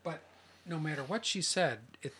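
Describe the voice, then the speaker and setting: A man's voice: on the high side, moderate pace, slight background noise. A man co-hosting a podcast, telling stories in a playful, casual way and breaking into silly imitations. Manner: animated storyteller, mimics sounds playfully. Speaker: podcast co-host. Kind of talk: casual comedic chat.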